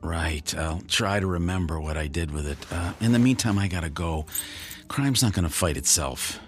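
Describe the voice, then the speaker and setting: A man's voice: low-pitched, moderate pace, faint music behind, lightly acted. A man acting a wry, blundering police officer husband in a radio drama, teasing and a little hesitant. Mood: sheepish, placating.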